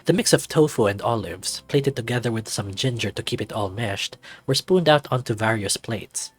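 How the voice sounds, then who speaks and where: A man giving measured, formal first-person narration of a scripted story. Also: fairly low pitch, animated, breathy edge, no room sound, faint music behind.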